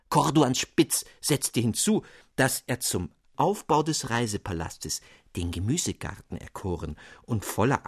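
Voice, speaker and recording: Male narrator reading a fairy tale: measured, expressive, a little husky, dry studio sound. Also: medium pitch, no room sound.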